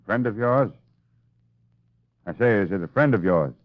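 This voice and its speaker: A veteran police chief in an old radio detective play. The voice is fairly low, steady and matter-of-fact, on a muffled vintage recording. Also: male voice, measured pace. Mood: reflective. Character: seasoned, steady male police commissioner. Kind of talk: 1940s radio drama acting.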